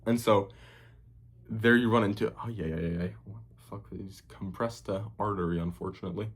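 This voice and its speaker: A man's voice: lowish voice, a little fast, slightly rough. A young man from Hungary with a slightly Americanized Hungarian accent, the host of a cooking channel, ranting casually to camera, profane and rambling with lots of fillers. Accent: Hungarian, partly Americanized.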